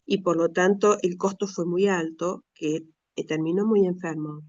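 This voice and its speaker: A middle-aged woman with an Argentine Spanish accent gives an earnest, measured personal talk with frequent pauses and restarts. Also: fairly low pitch, steady tone, a little mellow, no room sound, muffled recording. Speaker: speaker, retired school director.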